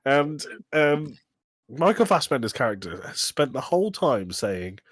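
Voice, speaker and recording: Male podcast co-host, very lively intonation, dry close sound. Mocking, exasperated comic storyteller who swears and acts out quotes. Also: mid-range voice, a little slow, dry recording. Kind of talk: casual comedic film-review chat.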